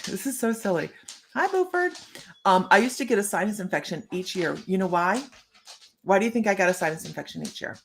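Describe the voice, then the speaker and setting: A woman's voice: medium pitch, expressive, brisk, gravelly, a little hiss or hum. A middle-aged woman hosting a live stream as a psychic medium, chatting to viewers and greeting them by name.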